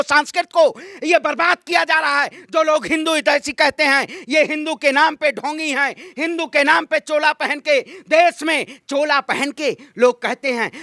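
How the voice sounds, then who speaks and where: Man, very high voice, bright and forceful, declamatory political interviewee, repetitive emphasis. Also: dry recording, studio quality. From Ayodhya, Uttar Pradesh, India. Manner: combative, declamatory, repeats phrases for emphasis. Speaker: political activist being interviewed. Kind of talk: impassioned street interview, political oratory.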